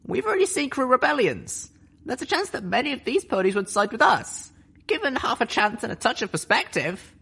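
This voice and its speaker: A man voicing an earnest young mare, the heroine of the story, pleading her case in a raised, much higher voice. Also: big pitch swings, brisk, gravelly, a bit bright, husky, full character voice. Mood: urgent.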